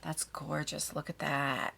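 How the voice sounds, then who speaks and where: Casting: woman, middle-aged, effusive beauty vlogger, bright forceful voice with a gravelly fry, casual unboxing chat. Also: deep voice, little pitch movement, takes it slowly. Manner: effusive, talks to viewers and to the items. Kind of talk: casual chatty product unboxing.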